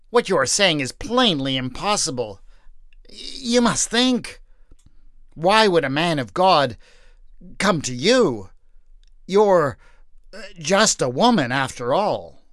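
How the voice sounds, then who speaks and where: A man voicing a pompous, self-righteous man who prays loudly and scoffs at his wife. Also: fairly high pitch, no room sound, clean studio sound, full character voice. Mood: scornful.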